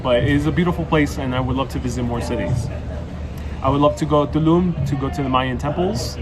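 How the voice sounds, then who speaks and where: A man's voice: mid-range voice, quick pace. A man from New York with a New York accent, hosting a live street vlog outdoors in a city, chatting upbeat and reading viewer comments aloud, with a clip-on wireless mic. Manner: chatty and upbeat, switches between English and Spanish. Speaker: travel vlogger, live-stream host. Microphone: wireless clip-on mic on a phone gimbal. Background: loud music.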